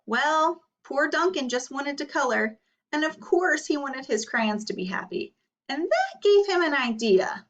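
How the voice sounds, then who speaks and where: A woman's voice: high voice, big pitch swings, unhurried, a bit bright. A woman teacher leading a read-aloud lesson for children, explaining and asking questions. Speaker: elementary school teacher. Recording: slightly muffled, distant microphone.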